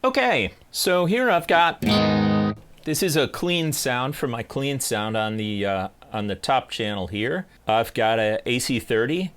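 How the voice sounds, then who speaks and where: A man's voice: sing-song, measured pace. A man in his forties hosting a casual guitar gear tutorial from his home music studio, chatty and self-deprecating, thinking aloud with fillers. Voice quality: deep bass singing voice. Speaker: guitar channel host.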